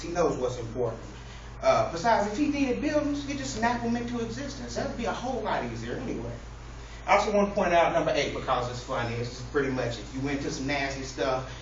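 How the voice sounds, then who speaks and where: A man giving an informal, humorous speech to an audience in a mock-earnest, preacher-like way. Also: medium pitch. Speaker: public speaker. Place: echoey indoor room with an audience. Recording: distant, very echoey, noisy, muffled room recording.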